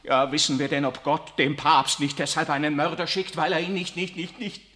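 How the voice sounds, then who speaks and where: An actor playing an anguished young priest in a 1960s radio drama, speaking intensely, moving from hushed to impassioned. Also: male voice, fairly high pitch, fairly even intonation, normal speed. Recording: reverberant studio stage.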